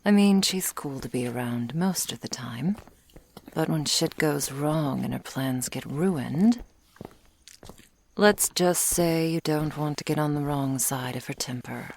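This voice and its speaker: Vampire bodyguard, played by a woman: deep female voice, unhurried, guarded and menacing, intimate ASMR roleplay delivery. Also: a little mellow, slight background noise. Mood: wary, low-key. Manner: cool, stern, clipped, often dropping to a whisper.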